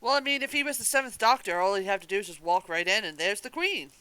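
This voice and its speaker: A man's voice: high-pitched, expressive, quick pace, bright, forceful tone, clear, resonant tone. A man co-hosting a podcast, bantering casually with dry quips. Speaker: podcast co-host.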